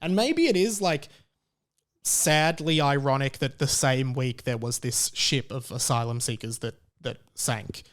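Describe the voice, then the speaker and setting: A man giving a casual opinion monologue like a podcast host, conversational and halting, with emphatic stresses on key words. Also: medium pitch, a bit bright.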